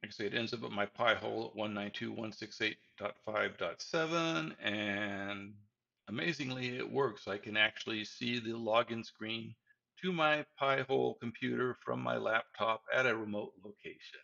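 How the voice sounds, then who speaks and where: A man's voice: on the low side, fairly even intonation, moderate pace, creaky, bright, forceful tone, highs cut off. A man in his sixties giving a casual, folksy tech tutorial with wry asides, recorded in a small room at home. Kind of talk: casual explanatory presentation over slides.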